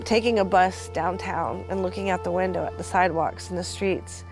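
A woman speaking earnestly and haltingly in a documentary interview, reflecting on loss and the land. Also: fairly low pitch, steady tone, over music, poor audio. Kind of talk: reflective personal testimony.

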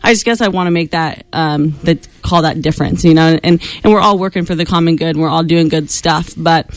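A young woman who is a radio host, talking as a guest in a studio interview in a chatty, quick way with frequent laughs. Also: sits low, dry recording.

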